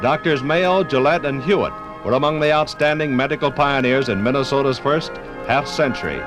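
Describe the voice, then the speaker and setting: Formal 1950s American radio narrator, a man. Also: medium pitch. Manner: formal, measured, dramatic emphasis. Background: faint music.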